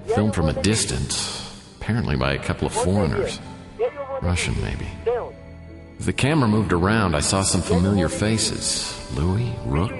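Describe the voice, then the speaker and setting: An actor playing a wisecracking hard-boiled male private detective, narrating with dry sarcasm. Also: low voice. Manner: dry, sardonic. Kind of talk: noir first-person radio narration. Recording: echoey with long reverb.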